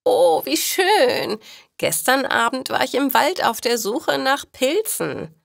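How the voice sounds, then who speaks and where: A woman voicing a delighted, grateful old woman in a storybook, with an eager, drawn-out delivery. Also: high voice, big pitch swings, gravelly, fairly bright tone, no room sound, clean studio sound, pitched above the performer's own voice, full character voice.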